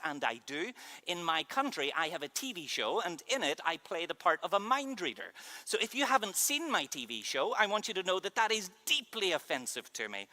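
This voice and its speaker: A man in his thirties with a Northern Irish accent, giving a comic keynote in a large conference hall with deadpan, teasing asides to the audience. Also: high-pitched. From Northern Ireland. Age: 30s. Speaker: keynote speaker.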